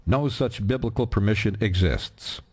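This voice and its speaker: A man narrating a documentary in a grave, measured, scripted delivery. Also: on the low side, a little mellow, breathy edge.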